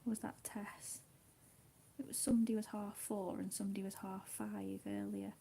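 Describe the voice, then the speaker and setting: A woman chatting casually with her viewers while she colours, speaking softly, often half in a whisper and a little hesitant. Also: medium pitch, flat delivery, moderate pace, gravelly, slight background noise. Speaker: livestream host.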